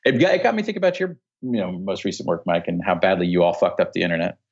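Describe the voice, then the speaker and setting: A man's voice: deep voice, expressive, racing, creaky, highs cut off. A man chatting casually as a guest on a podcast. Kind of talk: casual chat.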